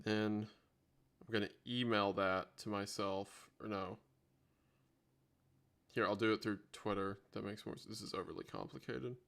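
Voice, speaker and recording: A man's voice: on the low side, steady tone, measured pace, creaky, pauses often, a bit bright. Man rambling casually on a livestream. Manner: rambling, thinking aloud while multitasking. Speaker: livestream host. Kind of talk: casual unscripted livestream chat.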